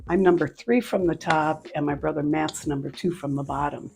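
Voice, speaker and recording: An older woman from Cleveland, Ohio, answering interview questions and telling her life story in an unhurried, pausing way. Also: low-pitched, vocal fry, a little mellow. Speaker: interviewee, nonprofit leader. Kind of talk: casual interview conversation. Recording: faint background music.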